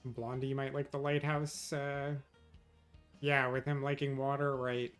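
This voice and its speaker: A man from New York talks loosely with his viewers as he plays through a game. His voice sits at an ordinary middle pitch for a man. Faint game music plays quietly under his chatter. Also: flat delivery, a little slow, clear, resonant tone. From New York, USA. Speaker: streamer. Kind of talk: casual gameplay chat with viewers.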